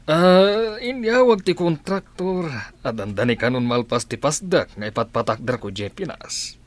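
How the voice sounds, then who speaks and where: A man playing a teasing, authoritative older father and boss in an audio drama, speaking deliberately and expressively. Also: on the high side, expressive. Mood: commanding.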